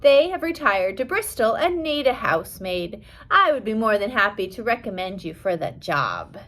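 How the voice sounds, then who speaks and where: A woman voicing a kindly, formal male mission director in a measured way for an audiobook. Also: medium pitch, big pitch swings, a bit bright. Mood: politely matter-of-fact.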